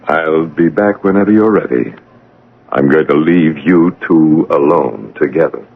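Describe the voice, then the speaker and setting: Casting: solemn afterlife guide, extremely deep and raspy, portentous, classic radio drama. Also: male voice, lively intonation, phone-quality sound, heavily acted. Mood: stern, composed. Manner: measured, portentous. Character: solemn, all-knowing otherworldly male guide. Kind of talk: old-time radio drama acting. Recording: band-limited radio drama recording.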